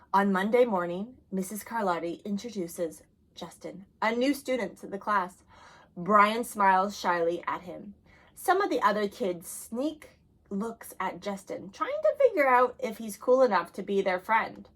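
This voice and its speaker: Woman storyteller reading a picture book aloud, expressive, mid-pitched. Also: low-quality recording. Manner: expressive, dropping soft for sad moments. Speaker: reader.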